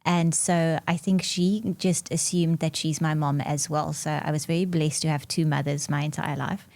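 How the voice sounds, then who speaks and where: A South African woman in her forties whose first language is Afrikaans, chatting in an interview as a marketing executive, laughing often and filling pauses with "um". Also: low-pitched, steady tone, darkish tone, no echo. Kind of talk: podcast interview conversation.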